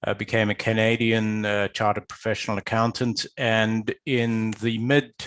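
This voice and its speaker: A man from Zimbabwe, a guest expert, answering interview questions in a measured, explanatory way with some hesitation. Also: on the low side, flat delivery, a bit bright. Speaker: guest expert, business valuator. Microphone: video call.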